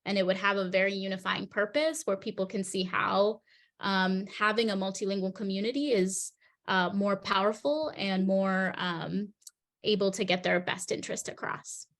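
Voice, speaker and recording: A woman facilitating a group discussion, thoughtful and affirming, often pausing to search for words. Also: medium pitch, fairly even intonation, fairly bright tone. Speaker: meeting facilitator.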